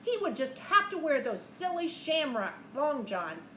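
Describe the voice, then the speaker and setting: An echoey room with faint noise carries a woman teacher's high voice. She reads a storybook to young children at an unhurried pace, adding simple asides and questions in an expressive delivery. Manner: animated, deliberate, simple questions and prompts for young listeners.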